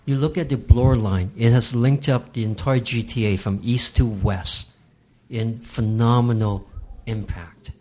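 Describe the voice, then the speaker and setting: A man from Hong Kong, a real estate guest on a podcast, explaining market trends in a measured, emphatic way. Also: mid-range voice, fairly even intonation, a little mellow. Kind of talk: podcast interview.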